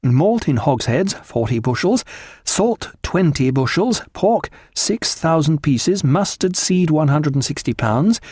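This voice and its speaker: A composed, methodical woman, as a male narrator puts her on, pitched lightly above a man's usual register. She itemises in a measured, precise way, in a dry storybook recording. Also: lively intonation, darkish tone, husky, no room sound. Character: composed, methodical woman reading out a stores list.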